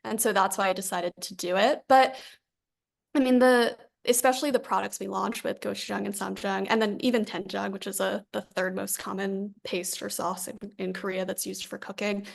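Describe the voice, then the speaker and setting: A woman with a gravelly, chatty founder voice. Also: mid-range voice, moderate pace. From New Jersey, USA. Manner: chatty, animated, quotes her own past thoughts. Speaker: founder and CEO, guest. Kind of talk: conversational interview.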